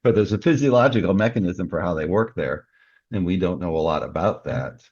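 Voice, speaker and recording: A man with a gravelly, husky voice talking ideas through speculatively as an interview guest. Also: low-pitched, animated, brisk, a little mellow, dry recording. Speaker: guest, novelist. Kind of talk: conversational interview discussion. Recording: dull, muffled dry recording.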